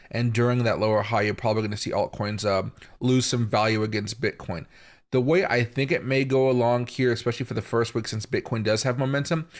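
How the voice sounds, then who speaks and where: Hoarse guy doing a live crypto stream, casual and unhurried, lots of ums, pauses to save his voice. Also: mid-range voice. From Seattle area, US. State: hoarse, coming down with a chest infection. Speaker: crypto market livestream host. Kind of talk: live market commentary.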